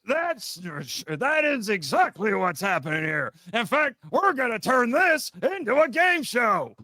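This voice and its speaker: A man's voice: very high voice, sing-song, measured pace, sharp tone, exaggerated voice. A man voicing a brash, taunting monster villain who bosses people around like a mocking game show host. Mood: bossy. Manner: mocking, bossy, insulting. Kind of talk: in-character game show hosting.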